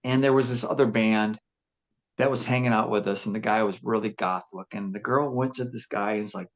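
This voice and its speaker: A man with a gruff voice, a musician chatting casually on a podcast, reflective and rambling with many fillers and restarts. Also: lowish voice, steady tone, brisk. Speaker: musician discussing his band.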